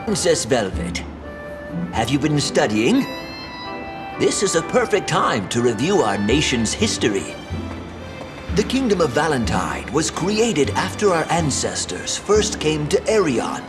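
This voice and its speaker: A man's voice: a little high, very animated, a little slow, music in the background. A man voicing an elderly, scholarly royal tutor in a fantasy game, lecturing in a pompous, theatrical way. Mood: proud, lecturing. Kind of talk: fantasy video game voice acting.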